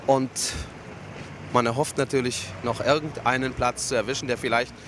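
A man giving an on-location radio news report in measured, separate phrases. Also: medium pitch, steady tone. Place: on location outside a theatre. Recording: loud street noise, traffic behind.

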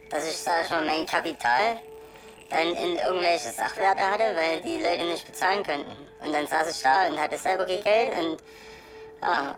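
A woman talking casually and candidly as an interviewee, colloquial and halting, often adding little tag questions. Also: fairly low pitch, rough recording. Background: music.

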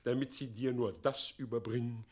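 An actor playing a scheming, pompous middle-aged president in a German radio play, swinging theatrically from commands to wheedling. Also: male voice, mid-range voice, steady tone, moderate pace, darkish tone, close to an everyday voice. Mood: slyly sweet. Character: scheming, pompous tyrant president, doting mockingly on his son.

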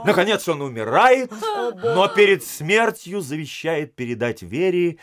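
An actor playing a jovial, teasing prince who tells a mock-tragic love story in a playfully pompous, theatrical audio drama style. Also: male voice, on the high side, sing-song, unhurried, a crowd in the background.

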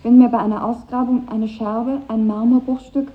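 Through a narrow, phone-like vintage radio recording comes a high-voiced, earnest schoolgirl, played by an actress. She speaks precisely and idealistically, as in a 1950s radio drama. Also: fairly even intonation, moderate pace, close to an everyday voice. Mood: dreamy, idealistic. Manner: earnest, precise reading aloud of an essay. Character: earnest, idealistic schoolgirl in her final year.

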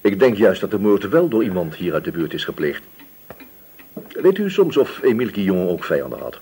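An actor in a Dutch radio play, playing a patient, principled police inspector who questions in a measured, gently admonishing way. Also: male voice, low-pitched, sing-song, a little hiss or hum. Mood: sceptical.

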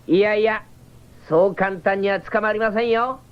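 A woman playing a cocky, comic young shapeshifting cyborg with a cheeky, excitable, theatrical delivery in a 1970s radio drama. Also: medium pitch, moderate pace, very noisy, close to an everyday voice. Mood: cocky, playful. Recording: dull 1979 radio broadcast.

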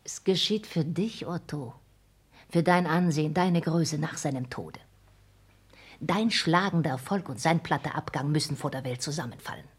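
A husky, scheming countess. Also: female voice, low voice, normal speed. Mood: driven, resolute. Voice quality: breathy, husky. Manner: formal, clipped, intense stage diction. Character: scheming, hard, ambitious countess plotting against her dying father. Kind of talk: 1960s German radio play acting.

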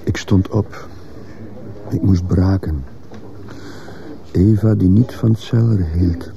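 An actor playing a troubled, bitter teacher who recounts a trial in terse fragments, as a radio drama monologue. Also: male voice, low-pitched, gravelly, heavily acted. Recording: noisy old radio play recording.

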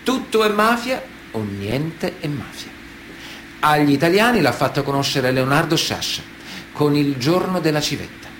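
An actor playing a stern, ironic chronicler, narrating a radio drama in clipped phrases with dramatic pauses. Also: male voice, on the high side, big pitch swings, fairly bright tone, slight background noise. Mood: grave, declamatory.

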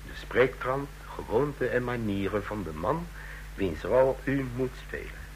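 An actor playing the curt, authoritative chief of a fascist secret police in a vintage radio play. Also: male voice, mid-range voice, expressive, a little slow, natural voice, low-quality recording. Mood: cool, authoritative. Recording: old radio recording, hum and hiss.

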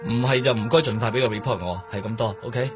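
Man acting a stern, fast-talking police boss, Hong Kong accent. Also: lowish voice, over music, natural voice. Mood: firm. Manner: firm, exasperated authority. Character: stern male police superior giving orders. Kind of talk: radio drama acting.

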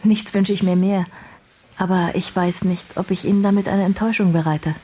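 A woman's voice: medium pitch, steady tone, moderate pace, mellow, slight background noise, lightly acted. An actress playing a blunt, earnest young intellectual woman in a radio crime drama, speaking precisely and formally. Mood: candid, matter-of-fact. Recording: music playing behind the voice.

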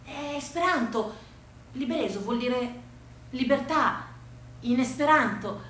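A woman voicing an eager, playful teenage gardener boy in theatrical storytelling, excited and insistent. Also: high voice, slow pace, pitched above the performer's own voice. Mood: proud. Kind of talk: acted dialogue in narrative theatre. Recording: very echoey room, faint noise.

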